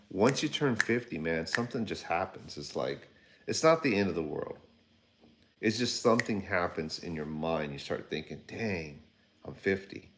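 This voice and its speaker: A man in his fifties hosting a casual livestream, rambling conversationally and talking to viewers by name. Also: low voice. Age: 50s. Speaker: livestream host. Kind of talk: casual livestream chat reading viewer comments.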